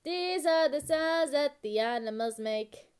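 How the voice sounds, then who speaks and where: A woman delivering a children's animal-sounds rhyme in a simple, repetitive, deliberate sing-song. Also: sits high, animated.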